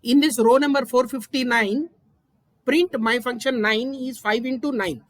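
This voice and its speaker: A high-pitched woman walks through code one step at a time, checking in with "right?". The recording is close and dry, with no room sound. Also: a little slow, dry recording. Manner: steady step-by-step explaining, frequent "right?". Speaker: instructor. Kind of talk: screen-recorded coding lesson.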